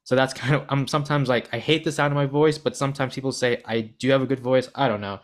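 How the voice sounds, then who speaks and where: A man's voice: mid-range voice, fairly even intonation, quick pace. Young American male podcast host, earnest and chatty with a mid-range voice. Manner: earnest, rambling, self-deprecating. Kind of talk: podcast interview.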